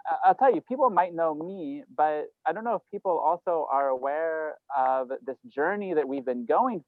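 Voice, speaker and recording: A man's voice: on the high side, dry recording. A man from Lebanon introducing himself on a video call, rambling and correcting himself with many fillers. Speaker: entrepreneurship program manager. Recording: dry, phone-like call audio.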